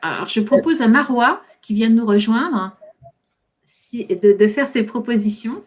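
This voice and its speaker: A woman's voice: a little high, unhurried. A woman moderating an online discussion workshop, politely inviting participants to speak. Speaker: workshop host.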